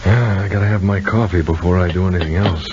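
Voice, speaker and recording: An actor playing a calm, gently bossy man who soothes and coaxes in a 1950s radio soap opera. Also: low voice, fast talker, lightly acted. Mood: coaxing, teasing. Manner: soothing, coaxing, conversational. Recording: noisy, dull vintage radio recording.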